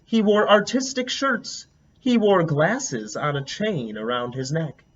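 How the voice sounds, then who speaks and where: A man reading a picture book aloud as a teacher, a lively storyteller who stops to comment on the pictures. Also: a little high, slow, muffled recording.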